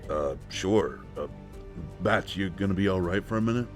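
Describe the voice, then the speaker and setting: Guy acting as a laid-back awkward dude, really low voice, slow, faint music behind. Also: close to an everyday voice. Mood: awkward, friendly. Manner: hesitant, informal. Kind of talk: scripted immersive audio roleplay.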